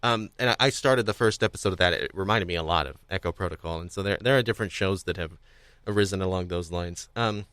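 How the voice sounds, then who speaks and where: A man hosting a podcast, talking casually about audio dramas in a halting, hedging way and often chuckling. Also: low voice, a bit bright, clear, resonant tone, dry recording. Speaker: podcast host.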